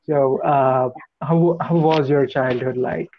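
Slow-talking gushing show host. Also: mid-range voice, steady tone, lo-fi sound. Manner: effusive, gushing praise, many fillers. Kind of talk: live show hosting.

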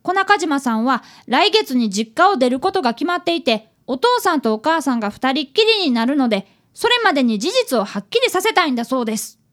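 A woman acts out a fifth-grade boy training as a detective in a radio drama. The voice is very high and swings animatedly in pitch. He speaks politely and earnestly, both in dialogue and narration. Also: big pitch swings, a little fast, a bit bright. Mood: earnest narrating.

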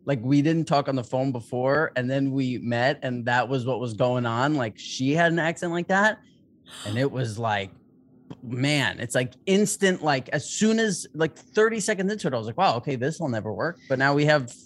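A man's voice: medium pitch, animated, moderate pace. A young man co-hosting a podcast in a loose, joking, self-mocking way. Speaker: podcast co-host. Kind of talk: casual podcast chat.

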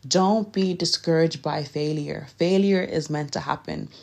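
A young woman with a Nigerian accent talks straight to her listeners in a casual, encouraging podcast style. Her voice sits low, and she speaks earnestly, sometimes stumbling and correcting herself. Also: unhurried, vocal fry, darkish tone. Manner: earnest and direct, occasional laughs and self-corrections. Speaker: podcast host. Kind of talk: casual motivational talk.

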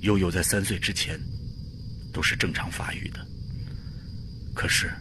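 A man's voice: low voice, fairly even intonation, a little slow, noisy background. A man playing a polite, desperate father pleading for his child in a radio drama. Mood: grieving.

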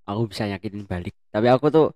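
A man's voice: fairly low pitch, very fast, mellow, no echo. Young male co-host from Bandung, joking, loose and slangy, dry close mic. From Bandung, Indonesia. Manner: playful, laughs often, slangy. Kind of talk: casual podcast chat.

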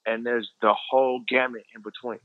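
A man's voice: mid-range voice, flat delivery, brisk, dry recording, narrow, tinny audio. A man with an American accent explaining his views in casual conversation, emphatic and repeating phrases for stress.

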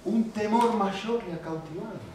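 A man with a Rioplatense Spanish accent preaching a sermon, animated and repetitive, often checking in with questions to the congregation. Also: sits high, measured pace, a little hiss or hum. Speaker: pastor. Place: church. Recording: very echoey hall, mic at a distance.

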